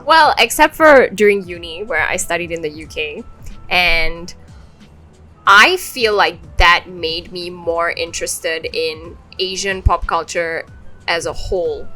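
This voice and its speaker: A young woman from Singapore co-hosting a podcast, talking in a relaxed, opinionated, casual way. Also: on the low side, unhurried, faint music behind. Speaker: podcast co-host. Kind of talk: casual chat.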